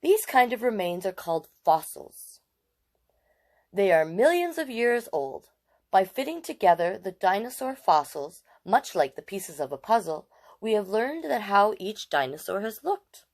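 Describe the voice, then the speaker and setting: A woman in her late forties hosting storytime, reading aloud to children with expressive emphasis and chatty asides. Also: mid-range voice, unhurried. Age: late 40s.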